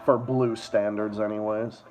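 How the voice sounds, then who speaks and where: A man's voice: fairly low pitch, level tone, measured pace, soft background music. Profane Boston-accented man rambling. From Massachusetts. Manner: rambling, profane, trails off softly. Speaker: stream host. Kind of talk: casual livestream chat.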